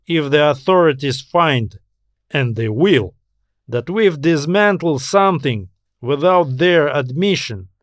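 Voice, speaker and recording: A man's voice: high voice, a bit bright, no room sound, clean studio sound, pitched above the performer's own voice, full character voice. A man voicing a level-headed male space pilot in an audio sci-fi story, persuasive and a little exasperated.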